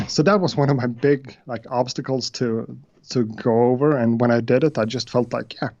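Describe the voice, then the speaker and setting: A man's voice: medium pitch, fairly even intonation, moderate pace, a little mellow, dry recording. Swedish guy talking beats on a video call, lots of uhs, muffled and dry. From Sweden. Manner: hesitant, many uhs and restarts. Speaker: guest beatmaker. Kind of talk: casual interview chat.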